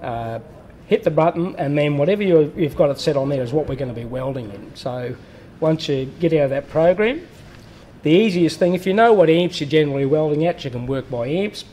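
A man's voice: fairly high pitch, lively intonation, a little fast, dark tone, a little hiss or hum. A man in a workshop explaining a welding machine step by step, plain-spoken with fillers and restarts. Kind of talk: hands-on technical explanation.

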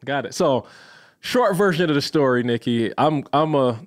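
A man from Barbados, a podcast guest in his thirties, telling the story of his career in a lively, casual way. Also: medium pitch, normal speed. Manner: animated, addresses listeners as y'all, laughs often.

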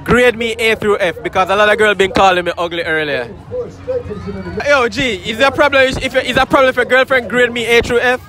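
A man's voice: extremely high pitch, steady tone, fairly quick. A young man with a Jamaican accent, brashly joking his way through a street interview at a fairground. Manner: brash, playful, teasing. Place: busy outdoor fairground. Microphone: handheld phone mic. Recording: loud crowd and music behind.

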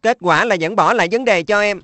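Schoolboy, middle sibling, played by a woman. Mid-pitched, earnest and a touch plaintive, children's cartoon dub. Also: brisk, a bit bright, no echo, lightly acted. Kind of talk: Vietnamese-dubbed children's cartoon.

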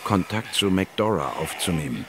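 An actor playing a sober scientist who narrates his expedition in an audio drama, measured and factual. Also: sits low, expressive, a crowd in the background. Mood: sober, observant. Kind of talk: scripted first-person narration in audio drama.

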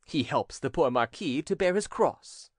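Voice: mid-range voice, lively intonation, measured pace, no room sound, studio quality. A storybook narrator voicing a foppish young aristocratic officer, gossiping in an arch, mocking way. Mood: mocking, amused.

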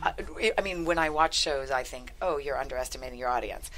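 A woman chatting casually as an interviewed screenwriter, often halting and correcting herself. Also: extremely low pitch, fairly bright tone. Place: talk-show studio couch. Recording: faint noise, studio ambience.

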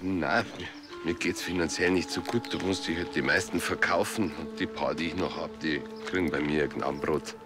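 An actor with a Bavarian accent playing an evasive, hard-up horse farmer, defensive and halting. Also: male voice, extremely low pitch, steady tone, music in the background.

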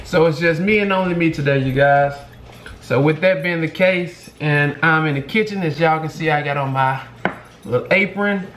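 A man in his thirties with a drawl, chatting easily and drawing out his "um"s as he cooks. His voice sits a bit high and sounds distant, picked up by a camera several steps away in an echoey kitchen. Loud music plays underneath. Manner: laid-back, chatty, drawn-out filler words. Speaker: vlogger cooking at home. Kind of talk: casual cooking vlog, talking to camera. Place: home kitchen, indoors. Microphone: camera on the counter, several steps away.

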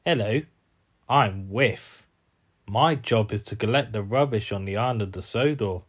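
Guy doing a friendly garbage train from a kids cartoon, dutiful, unhurried. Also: lowish voice, husky. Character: friendly, dutiful rubbish-collecting male engine.

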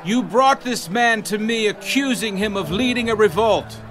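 A man's voice: high-pitched, normal speed. An actor playing an authoritative, exasperated Roman governor in a dramatized scripture, declaiming his judgments in a commanding way. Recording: loud music and crowd noise behind.

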